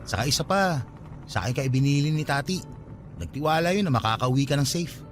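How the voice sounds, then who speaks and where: A man playing a kind, courteous young gentleman in a Tagalog radio drama, easygoing and reassuring. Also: fairly high pitch, expressive, quick pace, slight background noise, close to an everyday voice.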